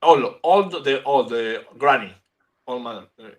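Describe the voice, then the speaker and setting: A man with an Argentinian accent chatting as a guest artist, halting and restarting as he searches for English words. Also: mid-range voice. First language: Spanish. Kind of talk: casual chat.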